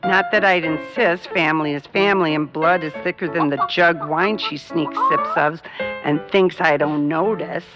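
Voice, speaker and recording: A woman's voice: low voice, moderate pace, close to an everyday voice. A folksy, grumbling older farm widow acted by a woman in a radio comedy. She rambles on with gossipy indignation. The sound is slightly muffled, with music underneath. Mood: indignant, gossipy. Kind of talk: comedic radio drama acting. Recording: dull lo-fi sound, music behind.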